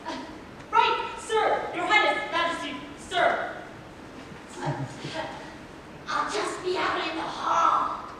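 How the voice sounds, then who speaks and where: A woman playing a brash young stable boy in a staged play reading, loud and indignant. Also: extremely high pitch, takes it slowly, long pauses. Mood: flustered. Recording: echoey hall, distant mic, background noise.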